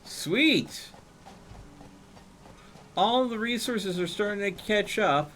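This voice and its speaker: A man's voice: high-pitched, animated, takes it slowly, fairly bright tone, a little hiss or hum. A man chatting casually while streaming a game, thinking aloud and explaining numbers as he plays. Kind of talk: casual chat while gaming.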